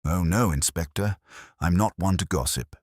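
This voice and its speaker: A man's voice: sits low, normal speed, no echo, clean studio sound, pitched above the performer's own voice. A man voicing a gossipy, primly observant village housewife in a detective story, confiding in manner. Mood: prim gossip.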